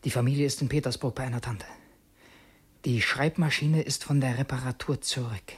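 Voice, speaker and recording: An actor playing a cunning infiltrator posing as a loyal secretary, in a measured, formal, husky radio drama style. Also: male voice, mid-range voice, steady tone, natural voice. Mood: cold, menacing. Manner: measured, brooding diary narration, formally courteous to employers. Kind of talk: German radio drama acting.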